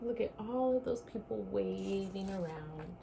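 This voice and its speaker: A woman teacher doing an expressive children's read-aloud, speaking directly to her young listeners in an animated way. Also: mid-range voice, measured pace, gravelly, noisy background. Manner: animated, addresses children directly with questions and asides. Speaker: teacher reading a story aloud to young pupils.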